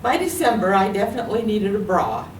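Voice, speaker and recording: A woman's voice: medium pitch, very animated, a little fast, a little hiss or hum. Woman reading her memoir in an echoey room. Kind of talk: literary reading. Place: library meeting room. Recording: echoey room, distant mic.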